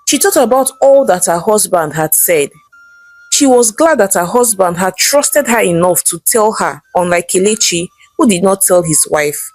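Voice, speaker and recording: Expressive Nigerian woman telling a folktale, music behind. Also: medium pitch, normal speed, no room sound, low-quality recording. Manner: expressive, acts out characters' dialogue. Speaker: storyteller. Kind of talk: dramatised folktale narration.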